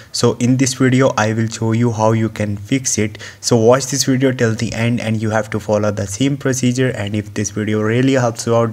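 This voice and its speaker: A man's voice: lowish voice, darkish tone. Man narrating a step-by-step tutorial. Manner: instructional, step-by-step. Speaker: tutorial host. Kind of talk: screen-recorded how-to tutorial narration.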